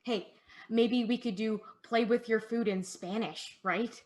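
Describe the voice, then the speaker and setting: A woman's voice: on the high side, fairly even intonation, a little slow, darkish tone, close to an everyday voice. A woman playing a sheltered, eager young daughter in a play performed as a video call, coaxing and insistent in a girlish way. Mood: chirpy.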